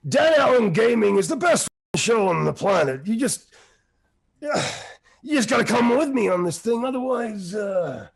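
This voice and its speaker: An actor playing a brash, manipulative horror game villain, gravelly and husky, cocky and needling. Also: male voice, high voice, sing-song, measured pace, heavily acted. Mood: taunting. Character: brash, manipulative, menacing male rival. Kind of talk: horror game character acting.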